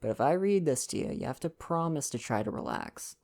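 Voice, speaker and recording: Gentle young man with a creaky, mellow voice. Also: a little high, sing-song, brisk. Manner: gentle, playful, affectionate. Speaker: ASMR roleplay performer and reader. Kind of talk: intimate ASMR boyfriend roleplay, casual chat and novel reading.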